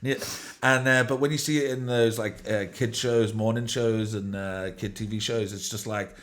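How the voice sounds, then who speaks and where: A podcast host with a fairly low male voice talks in a loose, rambling way. He piles up fillers and casual swearing as he chats. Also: steady tone, moderate pace. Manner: rambling, profane, many fillers. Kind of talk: casual chat.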